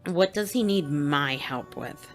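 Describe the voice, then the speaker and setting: A woman playing a reserved older sister who speaks in a cool, measured way. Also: low voice, animated, faint music behind. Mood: guarded.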